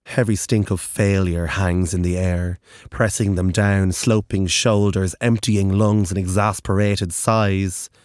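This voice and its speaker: A narrator reading dark, literary horror fiction in a deliberate, intense and dramatic way. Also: low voice, flat delivery, clear, resonant tone, dry recording, studio quality.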